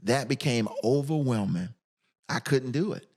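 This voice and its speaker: Casual guest storyteller: American man, candid, emphatic, very animated in pitch. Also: moderate pace. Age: middle-aged. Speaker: podcast guest, musician.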